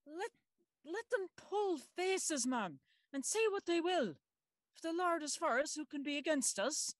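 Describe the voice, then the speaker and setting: A woman's voice: sits high, lively intonation, unhurried, no echo. A woman playing a stern, pious aunt in service, soothing her mistress firmly in a gothic audio drama. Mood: firm reassurance.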